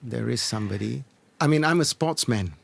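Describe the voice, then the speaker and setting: A middle-aged man answering interview questions as an experienced business leader, in measured, earnest, short deliberate phrases. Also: medium pitch, lively intonation, creaky. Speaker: entrepreneur and former corporate leader, interview guest. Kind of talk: reflective conversational interview answers.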